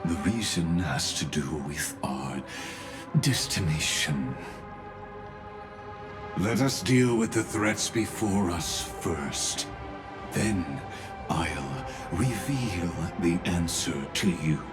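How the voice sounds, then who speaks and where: A sinister scholar from a fantasy game with an extremely low voice. He speaks very slowly, with theatrical courtesy and amused condescension, savoring his eloquent phrasing. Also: lively intonation, over music. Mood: calm, ominous. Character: sinister, eloquent, amused male scholar-schemer of a doomed world. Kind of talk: English game dub voice acting.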